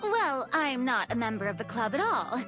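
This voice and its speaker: A woman voicing a spirited young woman in a game, chatty and gently teasing. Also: a little high, big pitch swings, normal speed, soft background music. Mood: casual. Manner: breezy, teasing, curious. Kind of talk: game cutscene voice acting.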